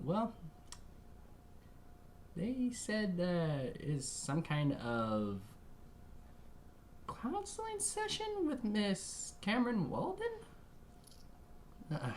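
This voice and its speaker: A woman hosting a reaction video with casual, expressive commentary and theatrical exclamations. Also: low voice, a little hiss or hum.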